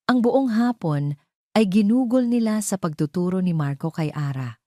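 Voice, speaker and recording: A woman's voice: medium pitch, lively intonation, moderate pace, mellow, dry recording, studio quality. A woman narrating a scripted romantic drama as a storyteller.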